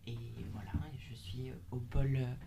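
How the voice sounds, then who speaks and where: A radio guest introducing themself in a halting way, with fillers. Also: medium pitch, steady tone, dark tone, slight background noise. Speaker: guest from an association. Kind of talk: casual radio conversation.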